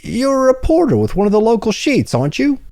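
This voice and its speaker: A man's voice: very high-pitched, wildly swooping pitch, fairly quick, gravelly, darkish tone, no room sound, studio quality, voice pushed up, full character voice. A man voicing a pushy, nosy fellow on the street in a crime audiobook, insistent and prying. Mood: pushy, inquisitive.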